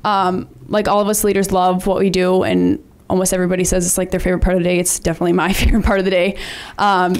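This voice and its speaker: A woman's voice: on the low side, fast talker, few pauses, smooth. Chatty teenage girl full of ums. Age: teens. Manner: chatty, many ums and likes; says she has a loud voice. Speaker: student PE leader. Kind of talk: presentation to a school board.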